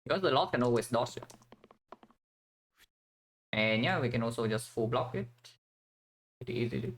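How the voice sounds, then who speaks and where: A man's voice: mid-range voice, animated, normal speed, rough recording. A man from Vietnam giving casual commentary while playing a strategy game, thinking aloud with many fillers and restarts.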